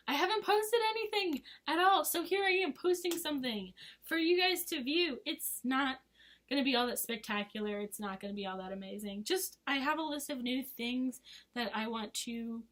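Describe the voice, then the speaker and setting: A woman in her twenties, hoarse from losing her voice, chatting casually in a rambling, giggly vlog. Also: fairly high pitch, fairly bright tone. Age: 20s. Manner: rambling and giggly, full of fillers. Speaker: vlogger. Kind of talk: casual chat to camera.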